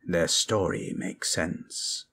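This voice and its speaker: A man voicing a grave dark sorcerer turned to good, solemn, measured and commanding, in a fantasy ASMR roleplay. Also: deep voice, fairly even intonation, a little hiss or hum, exaggerated voice. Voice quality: husky.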